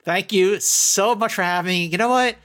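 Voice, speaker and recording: A man's voice: high-pitched, moderate pace, fairly bright tone. A middle-aged man speaking as a guest critic in a podcast discussion, effusive and emphatic, often repeating words to drive a point. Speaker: guest film critic and entertainment journalist.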